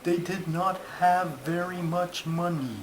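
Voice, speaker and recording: A man voicing a long-winded, earnest turtle puppet telling stories in a comic skit, halting and drawn-out, on a noisy home recording. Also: sits high, steady tone, a crowd in the background.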